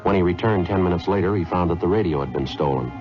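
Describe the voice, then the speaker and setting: An actor playing a stoic police detective sergeant in a 1950s radio drama, speaking and narrating in a terse, deadpan, matter-of-fact way. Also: male voice, low-pitched, fast, music in the background, lightly acted. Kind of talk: 1950s radio acting, clipped scripted narration. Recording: dull vintage 1950s radio recording.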